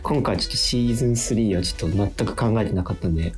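A man talking casually as a radio guest, explaining things in a loose, halting way. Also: lowish voice. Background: music.

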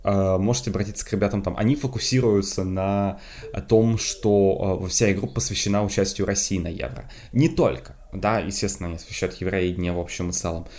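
A young man from Moscow co-hosting a podcast in casual chat, talking quickly and breaking into laughs. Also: deep voice. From Moscow, Russia. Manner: quick, lively, laughs often, restarts. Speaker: podcast co-host.

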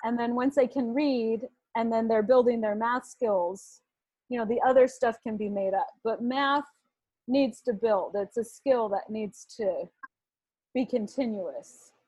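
A woman hosting a casual interview, a homeschooling mother who talks softly with many fillers. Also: on the high side, measured pace, breathy edge, no room sound, low-quality recording. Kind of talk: casual conversation.

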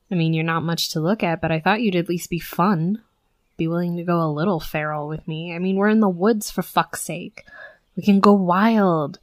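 A woman's voice: fairly low pitch, darkish tone, no echo, lightly acted. A young woman playing a brash, sweary tsundere werewolf bully who scolds with grudging protectiveness, in a scripted audio roleplay. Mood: flustered, goading. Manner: scolding, sarcastic, sweary, exasperated. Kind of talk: scripted first-person roleplay audio acting.

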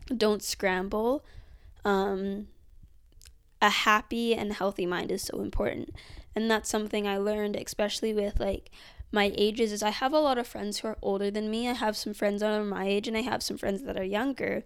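A woman's voice: medium pitch, steady tone, normal speed, clean tone. Chatty eighteen-year-old girl. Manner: chatty, filler-heavy, earnest. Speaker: vlogger. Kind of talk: casual reflective monologue.

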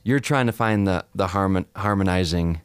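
A male interview host with a smooth, resonant voice pitched a little low, recorded close and dry. He asks friendly, curious questions and lets his guests talk. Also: normal speed, no room sound. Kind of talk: casual interview.

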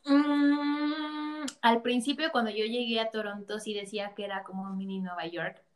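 A woman's voice: fairly high pitch, fairly even intonation, moderate pace. Mexican woman guest telling stories. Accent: Mexican Spanish. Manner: storytelling, laughs often. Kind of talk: casual chat.

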